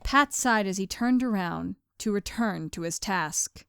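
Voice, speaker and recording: A woman's voice: mid-range voice, unhurried, vocal fry, a bit bright, clear, resonant tone, dry recording, studio quality, faint music behind. A woman reading scripted story narration as the narrator of a fan fiction audio drama.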